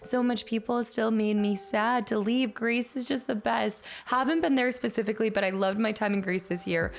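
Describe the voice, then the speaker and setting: A woman streamer chatting casually with her viewers and thanking them, upbeat, her voice a little raspy today. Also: fairly high pitch, steady tone, normal speed, soft background music. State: slightly raspy voice. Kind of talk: casual stream chat reading chat. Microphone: streaming mic.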